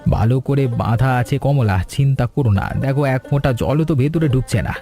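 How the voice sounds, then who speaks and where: A kind, steady cartoon husband and father, voiced by a man, calm and reassuring toward his family. His voice is mellow and warm at a middle pitch. Soft music plays behind him. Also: moderate pace, lightly acted. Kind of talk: cartoon voice acting.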